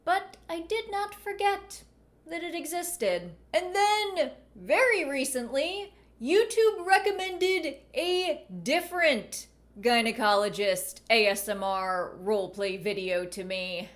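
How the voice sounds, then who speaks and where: A woman giving conversational video commentary in a wry, deadpan manner. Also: high voice, slow pace. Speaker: video essayist and host.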